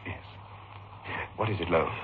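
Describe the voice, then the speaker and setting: An actor playing an anguished, overwrought surgeon in an old radio suspense drama. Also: male voice, normal speed, close to an everyday voice. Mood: weary, subdued. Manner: faltering, overwrought, intense. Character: anguished married male surgeon cracking under strain. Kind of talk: 1960s radio suspense acting. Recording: noisy, muffled old radio recording.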